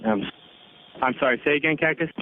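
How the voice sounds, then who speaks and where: A man's voice: a little high, fairly quick. An American man working as an air traffic controller, giving clipped, procedural instructions over aviation radio. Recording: tinny narrowband radio with static.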